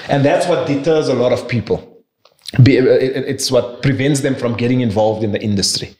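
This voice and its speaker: A South African man in his forties, guest on an interview podcast, telling stories in an animated, chatty way into a boom mic. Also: brisk. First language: not Afrikaans. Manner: animated, laughing storyteller. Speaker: guest, property investor. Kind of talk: casual interview storytelling. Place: furnished studio room with bookshelves. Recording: roomy studio echo.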